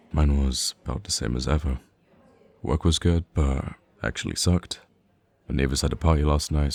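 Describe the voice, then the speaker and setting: A man's voice: rumbling low, vocal fry, darkish tone, full character voice. A man playing a playful, teasing young man bantering casually with a close friend in a conversational audio roleplay. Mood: casual, weary. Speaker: ASMR roleplay voice actor. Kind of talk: conversational ASMR roleplay acting.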